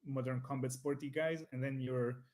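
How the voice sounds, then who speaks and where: A man's voice: mid-range voice, steady tone, normal speed, darkish tone. A young man from Croatia chats in English, a native Croatian speaker with a voice in the middle range. His talk is laid-back and loose. He repeats words as he settles into a thought. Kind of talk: casual live-stream chat.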